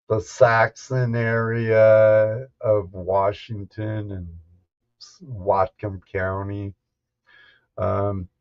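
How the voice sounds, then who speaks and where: A man hosting a casual livestream, chatting and telling stories in a halting, unhurried, folksy way. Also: on the low side, dull audio. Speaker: livestream host and storyteller. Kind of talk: casual livestream chat and storytelling.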